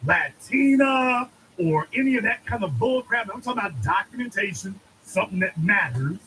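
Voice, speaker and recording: A man's voice: sits high, expressive, normal speed, sharp tone, a little hiss or hum, poor audio. A man giving an emphatic political monologue, preaching and repeating lines for effect. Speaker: commentator.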